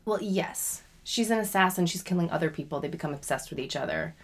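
A woman's voice: on the low side, expressive, a little fast. A young adult woman co-hosting a podcast, chatty and teasing, sometimes dropping to a whisper. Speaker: podcast co-host. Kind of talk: casual chat.

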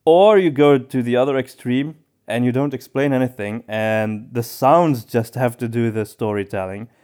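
A man's voice: mid-range voice, normal speed, a little mellow, clear, resonant tone. A man speaking as a podcast co-host in a casual discussion, giving his opinions as he thinks aloud.

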